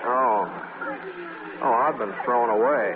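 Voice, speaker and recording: An actor playing a tipsy, groggy wisecracker in 1940s radio comedy-drama, stammering and halting in a droll way. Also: male voice, mid-range voice, over a noisy crowd, close to an everyday voice. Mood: groggy, confused. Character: tipsy, groggy, wisecracking man back from a barn dance. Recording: muffled, very noisy 1940s radio broadcast.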